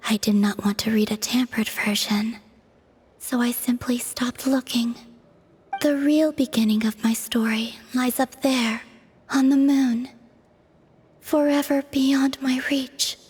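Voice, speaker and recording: Slow, whispery, melancholy moon goddess. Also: female voice, a little high, steady tone, exaggerated voice. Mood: sorrowful, resigned. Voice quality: soft, breathy, whispery. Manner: slow, poetic, measured. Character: young female moon goddess, solemn, melancholy, enigmatic, detached. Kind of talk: English video game voice acting. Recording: game music behind the voice. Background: background music.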